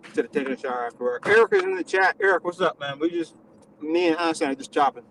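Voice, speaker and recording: A man's voice: fairly high pitch, huge pitch swings, fast talker, vocal fry, fairly bright tone, a little hiss or hum, low-quality recording. A man hosting a live stream, teasing his guest in crude, casual banter. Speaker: stream host.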